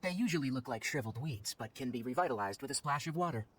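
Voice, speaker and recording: A man's voice: medium pitch, expressive, fast, fairly bright tone, a little hiss or hum, close to an everyday voice. A man voicing a businesslike young forest watcher in a video game, speaking in a matter-of-fact, curt way. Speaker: voice actor in game dialogue. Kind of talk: scripted video game voice acting. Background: game background music.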